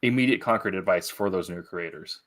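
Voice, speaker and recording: Slightly deep-voiced man hosting an interview. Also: fairly quick, fairly bright tone. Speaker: interview host. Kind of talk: casual interview chat.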